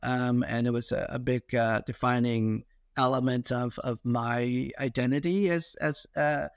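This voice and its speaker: A man's voice: mid-range voice, fairly even intonation, unhurried, clear, resonant tone, dry recording, studio quality. A middle-aged man with an American accent, talking in a reflective, hesitant, conversational way as a guest telling his own story in an interview. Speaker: podcast guest, investor.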